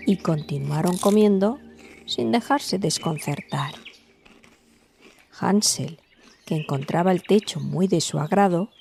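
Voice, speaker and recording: A woman narrating a scripted fairy tale as a storyteller, in a measured, dramatic way. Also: lowish voice, vocal fry, mellow, slight background noise.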